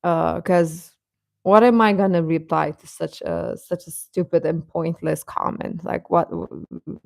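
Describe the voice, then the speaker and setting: A woman hosting a casual fan livestream, rambling and halting as she replies to comments, often with a chuckle in her talk. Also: on the low side, lively intonation, vocal fry, a little mellow, dry recording, studio quality. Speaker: host of a K-pop fan channel.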